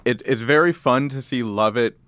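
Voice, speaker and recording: A man chatting casually as a producer, quick and easygoing, laughing often. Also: medium pitch, a bit bright, smooth, no room sound. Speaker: associate producer. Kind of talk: casual chat.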